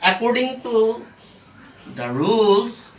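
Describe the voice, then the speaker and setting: Very slow grammar teacher in an echoey room. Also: mid-range voice, very animated. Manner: halting, short phrases, asks and answers own questions. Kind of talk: step-by-step grammar lesson. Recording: echoey room, far from the mic.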